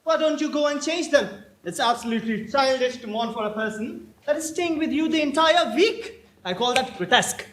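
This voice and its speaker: Man playing a high-voiced flippant young gentleman. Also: animated, moderate pace, few pauses. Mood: teasing, flippant. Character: flippant, lovestruck young gentleman wooing a girl. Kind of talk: amateur stage comedy acting. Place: indoor theatre stage. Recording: echoey stage recording.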